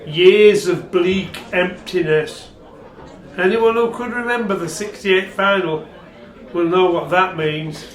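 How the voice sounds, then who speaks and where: Man playing a high-voiced wry supporter. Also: slow pace. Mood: bleak. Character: wry, bleakly obsessed male rugby supporter. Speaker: actor in a radio play. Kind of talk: dramatic radio play retelling. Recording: roomy, echoing sound.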